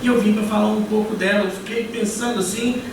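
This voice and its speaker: A middle-aged man with a Goiás Brazilian accent, preaching as a guest pastor in a relaxed, conversational way with many fillers. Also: sits high, a little fast, distant mic. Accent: Goiás Brazilian Portuguese. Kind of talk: church sermon. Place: church hall. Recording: echoey church hall, picked up from afar, noisy.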